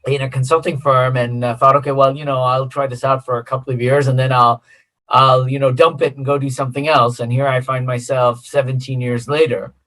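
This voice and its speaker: A middle-aged man from the United States, a consultant guest answering interview questions in a relaxed, friendly way with many fillers. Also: mid-range voice, brisk, rough recording.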